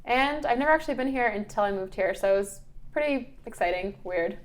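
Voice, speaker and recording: A woman's voice: a little high, lively intonation, a little fast, rough recording. A young woman chats as an interview guest. Her storytelling is informal and playful, slipping into quoted bits of conversation as she goes. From Ukraine, raised in Philadelphia from age seven. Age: young adult. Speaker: interview guest, bottle service worker and model. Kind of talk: casual chat, anecdotal storytelling.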